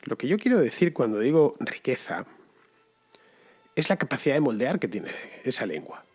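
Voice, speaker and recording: A man hosting a podcast, answering listener questions in a relaxed, conversational way with frequent fillers. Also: mid-range voice, moderate pace, slightly rough. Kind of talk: casual spoken commentary.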